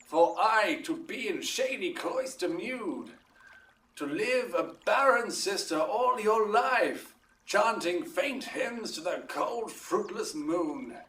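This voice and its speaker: An actor playing a lordly, measured duke in a Shakespearean audio drama, with stately, declamatory delivery. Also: male voice, sits high, animated, fairly bright tone, exaggerated voice. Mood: solemn warning. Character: lordly, measured duke of Athens passing judgment. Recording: roomy echo, distant from mic.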